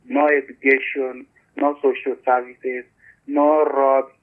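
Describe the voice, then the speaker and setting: A man's voice: mid-range voice, little pitch movement, takes it slowly. Ugandan man on a tinny call. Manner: earnest, repeats words as he searches for them. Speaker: community beekeeper guest. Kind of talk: interview over a call. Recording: husky tinny phone-line audio.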